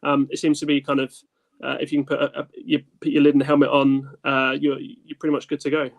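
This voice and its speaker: A man on a sports panel explaining casually and tentatively, pausing often. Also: mid-range voice, monotone, gravelly, phone-quality sound.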